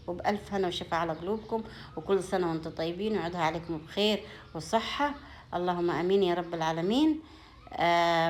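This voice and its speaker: A woman's voice: on the low side, a little hiss or hum. A woman with an Upper Egyptian Sa'idi accent chatting casually to her viewers in a home vlog, in a folksy way full of blessings and endearments. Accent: Upper Egyptian (Sa'idi) Arabic. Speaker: home vlogger.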